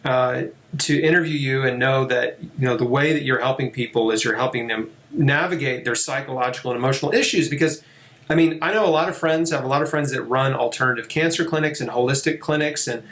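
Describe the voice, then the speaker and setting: A man's voice: medium pitch, quick pace, fairly bright tone. A middle-aged man hosting a casual interview, telling stories enthusiastically with many "you know"s and "right?"s. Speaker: host.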